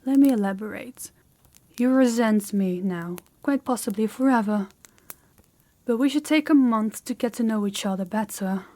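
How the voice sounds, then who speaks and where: A woman playing a haughty captor princess in an audio roleplay, speaking in a measured, formal, condescending way straight to the listener. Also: a little high, warm tone, lightly acted. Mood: firm, composed. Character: haughty captor princess, commanding yet awkward and dutiful.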